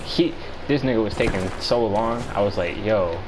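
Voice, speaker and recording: An American man with a low voice tells a story in a casual, conversational way. His delivery is halting, slangy and profane. There is clear noise behind him. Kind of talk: casual storytelling chat among friends.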